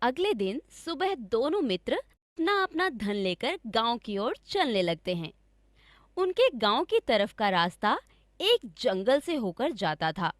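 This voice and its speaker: A woman's voice: a little high, very animated, unhurried, no echo, studio quality. A woman narrating a scripted story for children as a storyteller.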